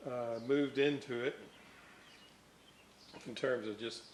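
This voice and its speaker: An older man giving casual, halting, folksy narration as a hobbyist at his workbench. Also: mid-range voice, fairly even intonation, a little hiss or hum. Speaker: radio restoration hobbyist and host.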